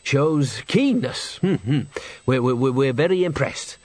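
A man's voice: mid-range voice, animated, measured pace, darkish tone, no room sound. A man doing a hesitant, stammering opera owner.

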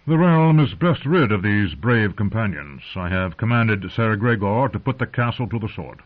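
A man voicing a stern, commanding old patriarch in a fantasy audiobook, speaking in a measured, authoritative manner. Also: on the low side, animated, natural voice. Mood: cold, decided. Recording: narrow, phone-like lo-fi audio.

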